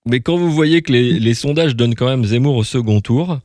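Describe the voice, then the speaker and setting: A man's voice: mid-range voice, brisk, darkish tone, no echo. A man hosting a casual radio interview, chatty and informal, feeling his way through his questions with some hesitation.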